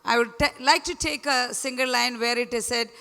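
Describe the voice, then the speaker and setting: A hoarse woman in her fifties, a school principal, giving an earnest, emphatic speech at a school event and addressing the audience directly. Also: on the high side, steady tone, moderate pace, pushed and bright. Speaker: school principal, guest speaker. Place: large event hall. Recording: reverberant hall over speakers.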